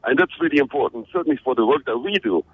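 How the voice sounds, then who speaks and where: A man's voice: mid-range voice, lively intonation, rapid-fire, husky, no room sound. A man from Belgium, a guest in a radio interview, talking energetically and often repeating words as he starts a sentence. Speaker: interview guest, conference organizer. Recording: tinny phone-like radio line.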